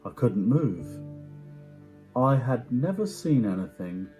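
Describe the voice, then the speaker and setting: A man giving a dramatic first-person story reading in a hushed, measured way with deliberate pauses. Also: medium pitch, very animated, soft background music. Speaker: amateur actor performing a story reading.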